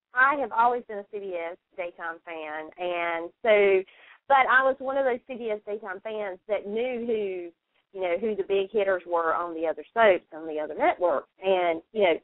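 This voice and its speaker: A woman's voice: medium pitch, dry recording. Chatty woman on a thin phone line. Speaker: radio co-host. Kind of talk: casual fan chat. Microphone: phone call. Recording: dry, thin telephone line.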